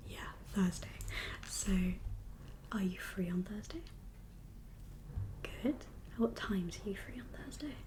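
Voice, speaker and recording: A woman doing a whispered ASMR roleplay as a doctor, speaking in a breathy, gentle, reassuring and slightly hesitant consultation manner. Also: medium pitch, animated, slow pace, long pauses, slight background noise.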